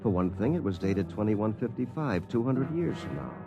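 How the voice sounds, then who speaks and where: A man's voice: fairly low pitch, lively intonation, moderate pace, rough recording. An actor playing a self-satisfied lawyer telling his own story, confiding and wry, as 1950s radio drama narration. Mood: wry recounting. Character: self-satisfied, successful male attorney recounting his story. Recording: 1950s radio broadcast, music behind.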